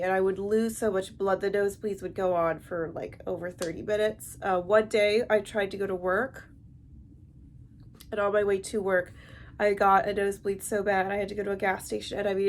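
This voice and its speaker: A woman with a stuffy, heavily congested nasal voice, talking to camera in a halting, explanatory way in a personal vlog. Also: medium pitch. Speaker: vlogger. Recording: amateur recording with light background noise.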